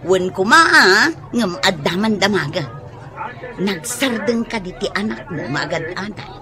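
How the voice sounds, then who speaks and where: A woman's voice: mid-range voice, expressive, measured pace, natural voice. A woman playing a nosy, gossiping acquaintance in a radio drama, with a northern Philippine accent. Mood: chatty, prying. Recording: music and crowd noise behind.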